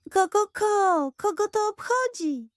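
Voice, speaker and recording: A woman voicing an excitable hen in a children's audio story, clucking as she talks. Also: extremely high pitch, takes it slowly, dry recording, clean studio sound, voice pushed up, heavily acted. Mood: indignant. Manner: clucks before speaking.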